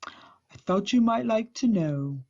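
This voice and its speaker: A woman voicing a mild, kindly male library worker from a storybook, in a measured, understated way. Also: mid-range voice, expressive, a little mellow, slightly rough, dull audio, exaggerated voice. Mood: sly, quiet.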